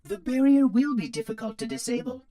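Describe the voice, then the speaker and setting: A man's voice: very high-pitched, a little fast, mellow, a little hiss or hum. A man voicing a fussy, chatty, polite robot caretaker in a video game, with prim, precise diction. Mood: fretful. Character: fussy, chatty, polite floating robot monitor of an ancient installation. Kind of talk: video game cutscene voice acting.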